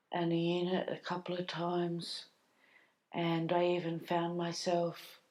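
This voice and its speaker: A woman's voice: fairly low pitch, warm tone. An Australian woman giving a plain personal account in short, simple sentences. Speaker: speaker in a community film.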